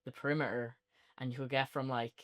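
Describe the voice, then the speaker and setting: A man's voice: medium pitch, flat delivery, fast talker, fairly bright tone. An Irish man speaking in casual, unscripted banter as a podcast co-host reviewing with a friend.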